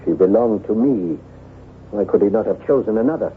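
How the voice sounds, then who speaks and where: Bitter soldier nobleman played by a man, impatient and animated, old radio drama style on a narrow, hissy recording. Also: fairly low pitch, a little fast, lightly acted. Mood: bitter, aggrieved. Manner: brusque, brooding, impatient. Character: bitter, proud soldier nobleman set on revenge. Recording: noisy, tinny old radio broadcast.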